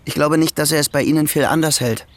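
A man's voice: a little high, fairly even intonation, fast talker, no echo, lightly acted. An actor playing a bitter young nephew who is in debt and hates his prospects, talking in a sullen, brooding way in a radio play. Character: bitter young male nephew, indebted and resentful.

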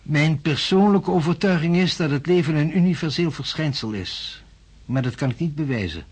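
An actor playing an impatient, self-assured professor of science in a radio drama, speaking formally and pedantically. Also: male voice, on the high side, lively intonation, moderate pace, darkish tone, husky. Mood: lecturing. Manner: formal, pedantic, emphatic lecturing.

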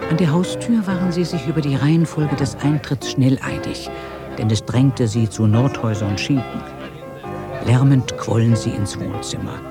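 An actor as the narrator of a radio drama, telling a village story in measured literary style. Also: male voice, mid-range voice, over music, lightly acted.

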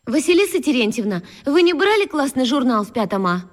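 An actress playing a worried, well-meaning schoolteacher, agitated and expressive, in a children's radio play. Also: female voice, sits high, fairly quick, big-room sound. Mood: anxious, suspicious.

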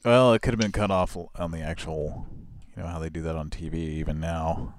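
A man hosting a live show in casual chat, with a gravelly voice and a rambling, laugh-prone way of talking. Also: a little fast. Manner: rambling, laughs often, animated swings in pitch.

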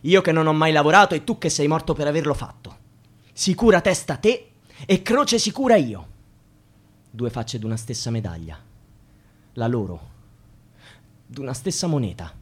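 An actor playing an anxious, bitter, jobless young student in a theatrical, rhythmic Italian radio play, with a husky voice. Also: male voice, fairly high pitch, sing-song, normal speed, long pauses. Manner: theatrical, wordplay-driven, repetitive.

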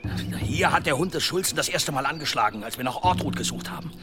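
Man acting gruff village blacksmith over music. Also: fairly high pitch, animated, fast, close to an everyday voice. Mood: grim. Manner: blunt, earthy, irritable. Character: gruff, impatient village blacksmith born in the valley. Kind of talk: historical radio play acting.

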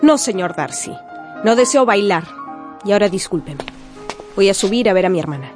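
An actress playing a spirited, proud young gentlewoman, polite but firm and challenging, in a radio drama. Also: medium pitch, expressive, normal speed, soft background music, lightly acted. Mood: defiant.

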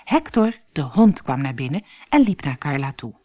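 A woman reading a children's fairy tale as scripted narration, with expressive, clearly phrased storytelling. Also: sits low, normal speed, no echo, clean studio sound.

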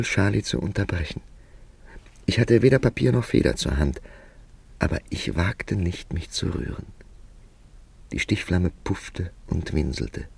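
Deep-voiced, expressive writer narrating his tale. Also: vocal fry, breathy edge, no echo, heavily acted. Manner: measured, reflective, literary. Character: Mr. Kipling, reflective male writer narrating his own story. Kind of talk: literary first-person radio play narration.

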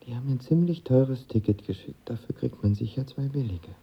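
Insecure lovestruck young man, radio drama, very breathy rasp, colloquial, dull muffled sound. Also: medium pitch, a little fast. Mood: soft, coaxing. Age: young adult. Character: lovestruck, insecure young actor, narrating his first love. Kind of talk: radio drama acting and first-person narration.

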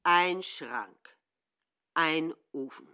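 A woman reading narration in a radio play, slow and expressive. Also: sits low. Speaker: second narrator.

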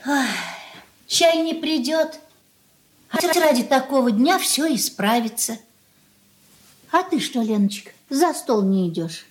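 High-voiced kindly old mother. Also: lively intonation, takes it slowly, pauses often. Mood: hopeful. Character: kindly old mother, soft-hearted, hopeful. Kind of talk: Soviet radio play acting. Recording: roomy, noisy old radio recording.

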